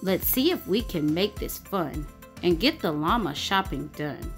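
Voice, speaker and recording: Animated woman reading a children's story, music behind. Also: fairly low pitch, measured pace. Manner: expressive, sing-song rhyming delivery. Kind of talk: animated picture-book read-aloud. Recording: music bed under the voice. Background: background music.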